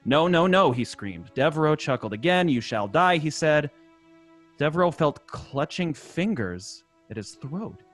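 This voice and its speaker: A man's voice: a little high, animated, measured pace, faint music behind. A man playing an easygoing, skeptical sidekick with 1930s slang in an old time radio drama. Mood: dramatic reading. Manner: slangy, incredulous.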